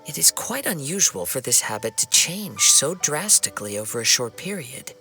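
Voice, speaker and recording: A man playing a polite, composed young investigator in a video game, speaking formally and deliberately as he reasons. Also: mid-range voice, sing-song, bright, forceful tone, soft background music, exaggerated voice. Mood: calm, thoughtful.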